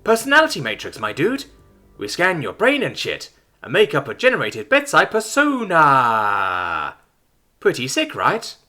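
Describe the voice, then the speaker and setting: A man's voice: on the high side, huge pitch swings, measured pace, bright, forceful tone, soft background music, voice pushed up, full character voice. A man voicing a laid-back robot nurse android that talks in surfer slang. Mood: chill bro. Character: cheerful bro-talking robot male nurse android.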